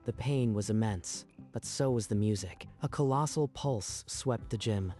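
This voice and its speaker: A man with a low, soft-edged voice delivers dramatic scripted narration over faint background music. He sounds like the storyteller of an action tale. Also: a little slow.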